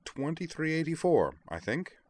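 Man doing a high-voiced, fussy, defensive man. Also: big pitch swings, normal speed, a little mellow. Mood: considering. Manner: protesting, old-fashioned polite wording. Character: defensive, slightly fussy man, mimics others' accents.

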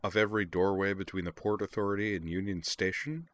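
Man voicing an imperious, sharp-tongued young woman. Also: deep voice, steady tone, fairly quick, a little hiss or hum. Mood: smug, disapproving. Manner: pointed, disapproving.

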